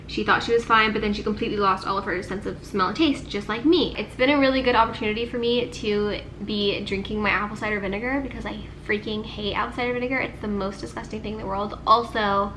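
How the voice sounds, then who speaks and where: A woman's voice: mid-range voice, steady tone, fast, hardly any pauses, noisy background. A young woman chatting casually to camera, her voice nasal and congested from illness, filling her talk with "like.". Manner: chatty, sprinkles speech with "like". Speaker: vlogger.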